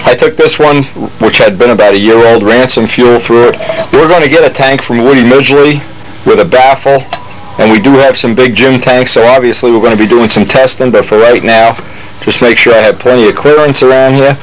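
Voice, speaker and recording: A man's voice: medium pitch, a little fast, poor audio. Older man rambling through a workshop build. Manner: rambling, self-correcting, talks while working with hands. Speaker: model airplane builder. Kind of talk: casual workshop demonstration. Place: hobby workshop, indoors.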